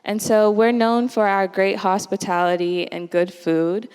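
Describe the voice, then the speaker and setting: A woman's voice: mid-range voice, little pitch movement, measured pace, darkish tone, clean tone, in a large hall. A young American woman, a student giving a friendly, earnest presentation with slides at a forum. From United States. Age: young adult.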